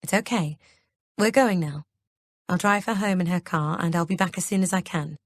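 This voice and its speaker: A woman reading the part of a young man speaking softly and soothingly to calm others. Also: lowish voice, moderate pace, no room sound, clean studio sound, natural voice. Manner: soft, soothing.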